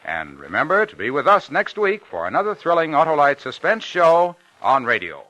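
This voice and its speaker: A man's voice: fairly high pitch, very animated, moderate pace. A man with an American accent announcing for a sponsor in polished, emphatic 1950s radio commercial style. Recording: dull vintage radio recording, faint music.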